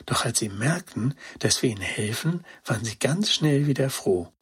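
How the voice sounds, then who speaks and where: An earnest young dog girl from a children's storybook, voiced by a man, speaks with childlike excitement. The voice is very breathy and husky, with lively, swinging intonation. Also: medium pitch, normal speed. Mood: earnest, excited. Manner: childlike, excited storytelling with naive colloquial words. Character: Gretine, young female toy-rescuing dog, earnest and compassionate.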